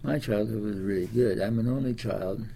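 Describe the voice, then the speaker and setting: Gravelly ninety-year-old Midwestern American man. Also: fairly low pitch, dark tone, slight background noise, rough recording. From Des Moines, Iowa, United States. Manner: rambling, halting recollection with repeated words. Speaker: interviewee, World War Two veteran. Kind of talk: oral history reminiscence.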